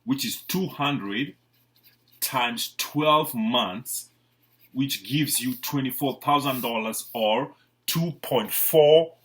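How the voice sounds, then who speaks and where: A man's voice: medium pitch, slow, a bit bright. A man from Kenya with a Kenyan accent, a real estate agent giving an informal explanatory presentation in a repetitive, emphatic way with frequent check-in words.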